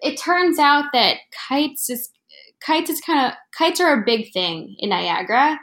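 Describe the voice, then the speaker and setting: A woman's voice: sits high, expressive, measured pace, a bit bright, echoey room. A young woman from Florida, a podcast guest talking casually, hesitant, with many fillers. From Florida, United States. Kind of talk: casual interview.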